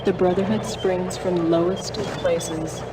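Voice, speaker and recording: A woman playing a calm computer archive in a video game, reading propaganda narration in a measured, formal, detached way. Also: on the low side, background noise, close to an everyday voice. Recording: distant voice under loud music. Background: loud music.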